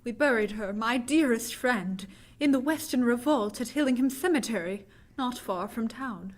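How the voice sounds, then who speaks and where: Woman as high-voiced, frightened gothic heroine. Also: lively intonation, normal speed. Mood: grief-stricken. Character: frightened, grieving young woman in gothic horror. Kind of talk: vintage radio drama acting.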